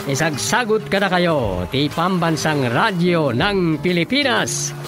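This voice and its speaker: Lively high-voiced radio drama announcer. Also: male voice, slow. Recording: music bed behind voice.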